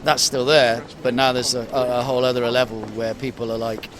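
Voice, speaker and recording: A man's voice: medium pitch, flat delivery, fairly quick. A man in his thirties, a monk, answering a street interview in a hesitant way with many ums and restarts. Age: 30s. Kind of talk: street vox pop interview. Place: outdoors on a city street. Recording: outdoor street with loud traffic noise.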